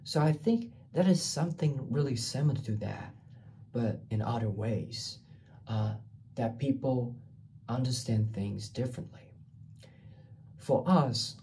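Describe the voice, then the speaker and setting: A young man from Taiwan speaks slowly, hunting for words and circling back over phrases. His voice is low-toned and gravelly, with a mellow, dark color. He records through a webcam mic in a small bare room, with a faint hum behind him. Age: young adult. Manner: halting, searching for words, repeats phrases. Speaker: student. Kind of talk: class reading response video. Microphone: laptop webcam mic, framed from below.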